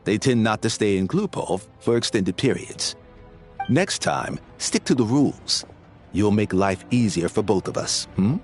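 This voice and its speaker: A man's voice: fairly low pitch, lively intonation, measured pace. An actor voicing a smug, rule-bound male gatekeeper in a video game, talking down in a bureaucratic way, with faint music behind. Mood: condescending.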